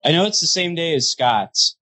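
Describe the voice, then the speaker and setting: A man's voice: on the high side, animated, moderate pace, pushed and bright. A man in his thirties, co-hosting a casual podcast and throwing in dry jokes. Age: 30s. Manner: joking, wisecracking. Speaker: podcast co-host. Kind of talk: casual chat.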